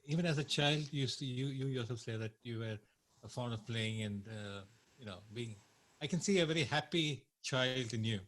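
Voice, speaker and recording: A man interviewing a guest, speaking softly and haltingly with restarts. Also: medium pitch. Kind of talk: interview conversation.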